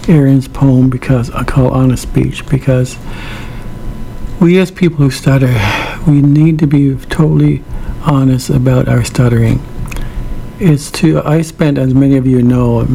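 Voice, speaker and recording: Middle-aged male host with a stutter, a gravelly and mellow voice, speaking reflectively. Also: medium pitch, slight background noise, poor audio. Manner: stutters, with repetitions and blocks. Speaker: host of a weekly program. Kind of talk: reflective monologue.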